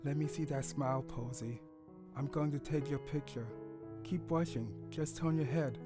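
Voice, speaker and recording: A man's voice: a little high, steady tone, moderate pace, over music, close to an everyday voice. A man voicing a doting male pony husband in an audio story, coaxing and affectionate. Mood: fond coaxing. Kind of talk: audio story character acting.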